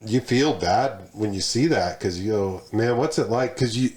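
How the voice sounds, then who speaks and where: A man's voice: on the low side, normal speed, darkish tone. A man co-hosting a podcast in casual chat, joking and swearing as he tells stories. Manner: profane, joking, storytelling. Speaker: podcast co-host.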